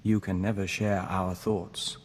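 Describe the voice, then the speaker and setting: A low-pitched, reverberant voice of a gentle hive-mind diplomat. He speaks in a formal, measured way, with devotion, as game dialogue over noticeable background noise. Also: little pitch movement. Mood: tender, earnest. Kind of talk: scripted video game dialogue acting. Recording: long reverb, noisy game ambience.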